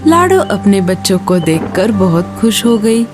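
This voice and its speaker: Expressive woman narrating a children's cartoon. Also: medium pitch, a little slow, over music. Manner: expressive, singsong. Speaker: storyteller narrator.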